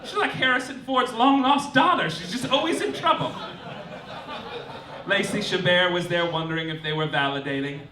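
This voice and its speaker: High-pitched campy man on a roomy stage. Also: moderate pace. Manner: campy, theatrical, swooping emphasis. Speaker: stand-up comedian hosting a live show. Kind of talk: satirical stand-up monologue, mock-formal announcements. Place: indoor cabaret club stage. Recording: roomy live stage, distant, clearly noisy.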